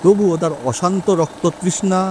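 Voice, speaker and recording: A man playing a learned detective, deliberate and theatrical as he expounds in an audio drama. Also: high-pitched, steady tone, dark tone, slight background noise, natural voice. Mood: explaining, solemn. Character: learned male detective solemnly expounding an occult mystery. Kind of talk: Bengali audio story dramatic acting.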